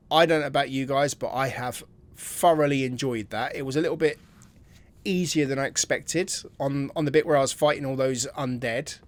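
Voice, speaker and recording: A man hosting a gameplay video, thinking aloud in informal, casual commentary. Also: medium pitch.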